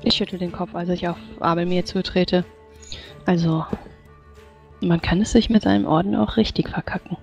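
Lower-pitched woman roleplaying over music. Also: fairly even intonation, moderate pace, vocal fry, darkish tone, lo-fi sound. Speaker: player in a tabletop roleplaying game. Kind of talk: in-character roleplay, polite and formal. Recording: music playing behind the voice.

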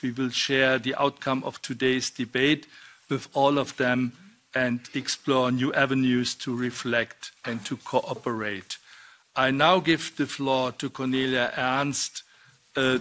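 Older male chair, measured and formal, bright voice, desk mic in a large hearing room. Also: medium pitch. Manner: measured, deliberate, reading a prepared text. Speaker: committee chair. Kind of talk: formal opening remarks. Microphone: desk microphone.

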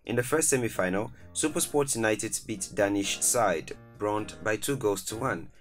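A man narrating a scripted countdown video in a formal, even reading voice, with each numbered entry stressed. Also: on the low side, normal speed, faint music behind.

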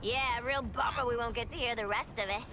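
A woman's voice: on the high side, brisk, very noisy, close to an everyday voice. A woman playing a sardonic antihero in a video game, needling companions with sarcasm. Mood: dry mockery. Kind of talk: video game character acting.

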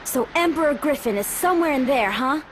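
A woman's voice: high-pitched, animated, brisk, slight background noise. A woman voicing an earnest, curious young hero in a fantasy video game cutscene. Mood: curious unease. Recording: loud music behind the voice.

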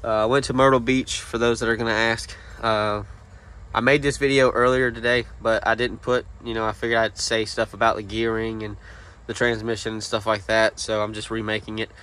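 A man's voice: fairly low pitch, flat delivery, fairly quick, fairly bright tone, clean tone. A young man talking casually and plainly in an unscripted, rambling way, as a car vlogger filming on a handheld phone. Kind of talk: casual unscripted vehicle walkaround. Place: outdoors in a driveway and at open car door. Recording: outdoor handheld recording, ambient noise.

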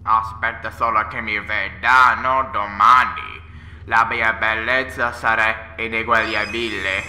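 A man voicing a vain, irritable bald schoolteacher in a parody cartoon, with pompous, drawn-out delivery. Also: on the low side, level tone, pushed and bright, full character voice. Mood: irritated, vain. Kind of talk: parody cartoon fandub acting. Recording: roomy echo, music underneath.

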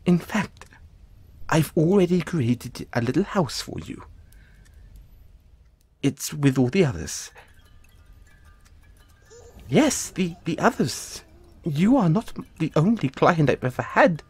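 A man playing an anxious, apologetic dollmaker in an audio roleplay, stammering and halting as he speaks to the listener. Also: on the high side, big pitch swings, darkish tone, slightly rough, no echo, full character voice. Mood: anxious, soothing. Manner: stammering, halting, flustered, placating. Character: anxious, apologetic male dollmaker who dabbles in soul magic.

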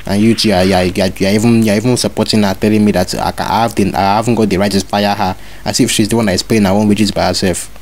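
A man's voice: fairly low pitch, flat delivery, rapid-fire. A man making a prank phone call as a fake school inspector, bossy and repeating his orders over and over. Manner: bossy, repetitive, demanding orders.

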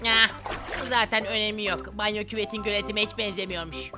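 A woman's voice: mid-range voice, fairly even intonation, a little fast, music in the background, close to an everyday voice. A woman voicing a grumpy, easily offended duck in a children's cartoon, with a huffy, dramatic manner and quacking interjections. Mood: sulky, huffy. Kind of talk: animated children's cartoon dub.

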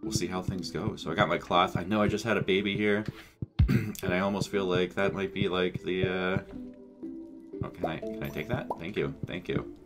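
Stuffy-nosed guy in his 30s rambling thru a farming game on a headset, deep voice, music in the background. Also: normal speed. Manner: relaxed, rambling, thinking aloud. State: congested from a cold. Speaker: gaming channel host. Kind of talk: casual let's play commentary. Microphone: headset mic. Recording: game music playing under voice.

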